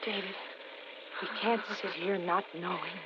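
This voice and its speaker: An actress playing a fearful, suspicious wife with a tense, imploring manner in a 1940s radio thriller. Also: female voice, fairly low pitch, steady tone, unhurried, natural voice. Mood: anxious. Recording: muffled, noisy vintage radio recording.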